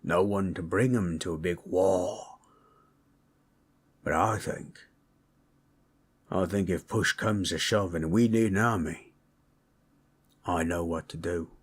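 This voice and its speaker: A man voicing a gentle, proud orc warrior in an intimate audio roleplay, telling tales in a folksy, rough-hewn, husky way. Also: low voice, slow pace, pauses often, exaggerated voice. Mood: earnest, resolute. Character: gentle, proud male orc warrior storyteller, affectionate, teasing. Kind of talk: intimate comfort roleplay storytelling.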